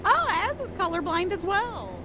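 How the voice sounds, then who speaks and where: A woman's voice: very high-pitched, sing-song, moderate pace, noisy background. A woman co-hosting a live stream, chatting casually and reading chat messages aloud with frequent laughs. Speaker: stream co-host.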